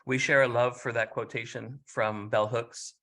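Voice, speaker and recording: A man's voice: medium pitch, fairly even intonation, moderate pace, fairly bright tone. A man interviewing a fellow scholar, asking questions conversationally and responding with affirming, enthusiastic asides. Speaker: interviewer, professor.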